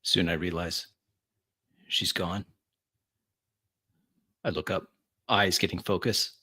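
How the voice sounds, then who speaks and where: A man narrating dramatic first-person tabletop roleplay in deliberate, broken phrases with long pauses. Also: sits low, fairly even intonation, pushed and bright, breathy edge.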